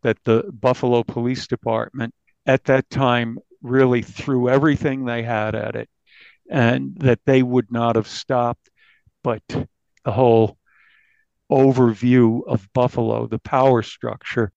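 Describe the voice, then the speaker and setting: Gravelly American man, dry dull recording. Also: mid-range voice, level tone, unhurried, darkish tone, no echo. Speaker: newspaper reporter interviewed. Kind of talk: conversational recounting of a crime story.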